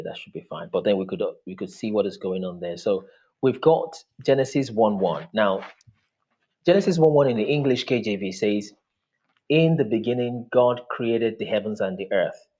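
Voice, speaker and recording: Husky-voiced man on a lo-fi call. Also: on the low side, expressive, darkish tone. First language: Yoruba. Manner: earnest, measured, with frequent fillers. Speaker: interview guest, ministry speaker. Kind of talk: explanatory interview talk over a video call.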